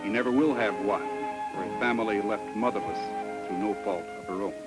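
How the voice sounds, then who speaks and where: A man's voice: on the low side, fairly quick, low-quality recording. Grave vintage film narrator, man, over music. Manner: grave, moralizing. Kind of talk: 1960s educational film scripted narration. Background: background music.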